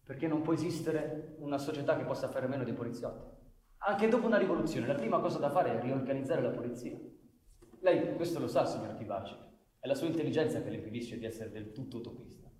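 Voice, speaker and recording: A male actor playing an earnest, world-weary police commissioner in a theatrical radio drama, speaking in a formal, measured, persuasive way. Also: medium pitch, animated, a little mellow, lightly acted. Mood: reflective. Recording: echoey hall, distant microphone.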